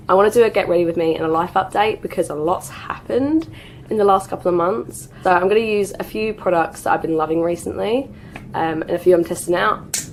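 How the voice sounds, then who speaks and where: A woman's voice: fairly low pitch, vocal fry, a little hiss or hum, rough recording. A woman in her twenties from the UK chatting through a makeup routine while getting over a cold, with lots of "like" and "um" fillers. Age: 20s. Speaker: beauty vlogger. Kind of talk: get ready with me chat.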